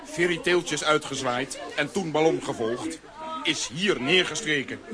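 A man's voice: a little high, unhurried, a crowd in the background, lightly acted. A man in a children's radio play voicing a blunt, good-hearted strongman who talks in clipped phrases. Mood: assured. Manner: clipped, article-dropping phrases.

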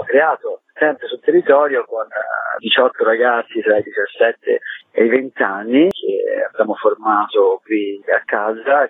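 A man being interviewed by phone on the radio as a festival director, explaining in a discursive way with pauses mid-phrase. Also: medium pitch, slightly rough, no room sound. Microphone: telephone call to radio. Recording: thin, phone-like narrow-band line.

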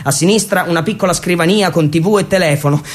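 A man performing a theatrical narrative monologue for radio, with a dramatic, irreverent delivery and emphatic pauses. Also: sits high. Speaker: stage storyteller and actor.

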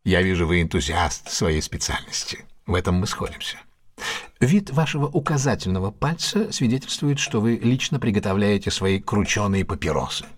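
An actor playing a confident, ironic detective with a husky voice in a Russian audio drama, speaking in a deliberate, theatrical, questioning manner. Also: male voice, on the low side, big pitch swings. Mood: polite, brisk.

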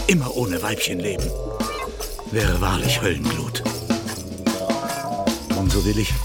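Radio opera villain: sly lovesick servant, slow and theatrical, wildly varied pitch, over loud music. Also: male voice.

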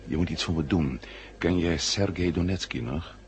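A male actor playing a brisk, friendly space-mission hero in a 1970 radio drama, assured and familiar. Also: low-pitched, natural voice. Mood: urgent, friendly.

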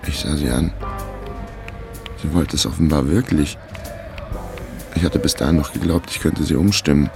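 A man's voice: extremely low pitch, moderate pace, vocal fry, long pauses, over music. A man narrating a radio crime drama as a laconic amateur sleuth, in a terse, matter-of-fact way. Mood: subdued. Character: laconic male amateur sleuth telling his own case.

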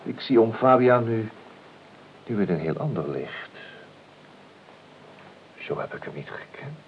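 A slightly dull, noisy old radio play recording features a wry nephew. His voice is very deep, and he speaks with dry, evasive politeness and irony. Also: very animated, unhurried. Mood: polite. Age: 30s. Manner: drily ironic, polite. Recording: muffled old radio recording, faint noise.

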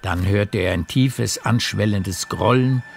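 A male narrator reads literary prose for a radio drama in a low, gravelly voice. His phrasing is measured and deliberate, and music plays clearly behind him.

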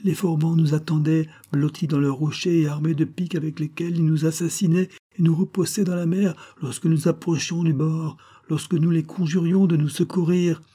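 A man's voice: fairly high pitch, flat delivery, moderate pace, few pauses, dark tone, husky, voice pushed up. A man voicing a shipwrecked young sailor in a read-aloud story, telling of the wreck in an urgent, pleading voice. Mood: desperate.

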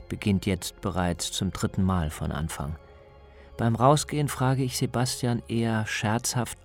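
A man speaking as a reporter, reading scripted narration for a radio feature. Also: lowish voice.

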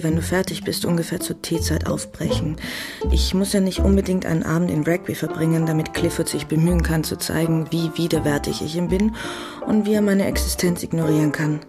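An actress playing a resolute, disdainful sister whose letter is heard as a brisk, decisive voice-over in a radio drama. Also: female voice, deep voice.

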